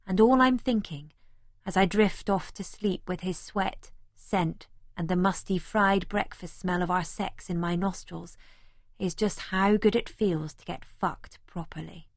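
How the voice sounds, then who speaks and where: Sensual, frank young woman narrating an audiobook: intimate, reflective, low-pitched, with a dry sound. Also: measured pace, dry recording. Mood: drowsy, contented. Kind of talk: first-person audiobook narration in character.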